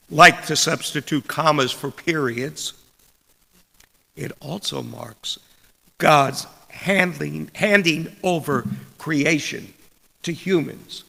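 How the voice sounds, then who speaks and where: Male preacher, heavily creaky yet forceful and bright, delivering a written sermon in measured short phrases. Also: fairly high pitch. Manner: deliberate, measured, broken into short phrases with pauses. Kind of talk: scripted sermon read aloud. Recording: long church reverb.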